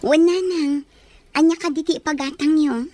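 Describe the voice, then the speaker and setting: A woman's voice: high-pitched, moderate pace, mellow, close to an everyday voice. A woman with an Ilocano Filipino accent playing a young, deferential, naive housemaid in a radio drama. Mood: dutiful. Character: young housemaid daughter, deferential yet observant.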